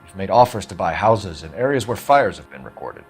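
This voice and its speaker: An actor playing an earnest, dogged young American police detective in a video game, clipped and formal in manner. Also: male voice, low-pitched, very animated, fairly quick, husky, faint music behind, full character voice. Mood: accusing, insistent. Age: young adult. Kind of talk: dramatic video game acting.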